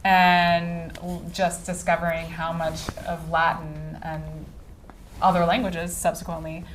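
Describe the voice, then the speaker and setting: A woman with a somewhat low voice hosts a conversation at a bookstore event. Her questions ramble and grow animated, packed with fillers. A faint room hum sits behind her. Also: a little slow, rough recording. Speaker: event interviewer. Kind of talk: casual conversation at a book event.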